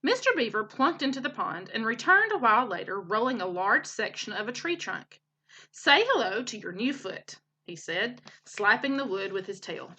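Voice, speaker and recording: A woman's voice: fairly high pitch, big pitch swings, normal speed, hardly any pauses, bright, forceful tone. A woman narrating a children's book aloud as a teacher would for young listeners.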